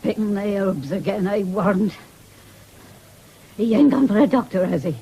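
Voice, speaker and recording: A woman's voice: mid-range voice, lively intonation, moderate pace, vocal fry, dark tone, husky, heavily acted. An actress playing an ailing, stubborn, fretful country mother with a rural English accent in a 1970s radio drama.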